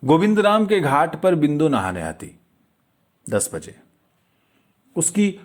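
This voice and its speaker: A male audiobook narrator reads a literary story at an unhurried pace. His pitch moves widely from phrase to phrase, and he shapes each line with measured, dramatic pauses. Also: darkish tone.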